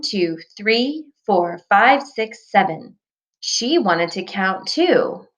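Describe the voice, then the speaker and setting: A woman reading a children's storybook aloud like a teacher, with deliberate, expressive phrasing for young listeners, on a dull recording. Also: mid-range voice. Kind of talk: scripted storybook read-aloud.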